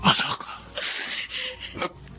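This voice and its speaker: A man's voice: drawn-out words, sharp tone, soft background music, full character voice. A man playing a kindly, trusting father of a grown daughter in a radio drama, speaking earnestly. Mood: shocked, hurt. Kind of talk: Tagalog radio drama acting. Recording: clearly noisy background.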